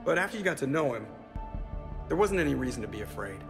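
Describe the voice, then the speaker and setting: Music plays clearly behind a candid crewmate from a video game. He has a mid-pitched, creaky voice and a casual, awkwardly sincere way of paying tribute. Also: sing-song, quick pace. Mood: wry, sincere. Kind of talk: video game cutscene acting, eulogy.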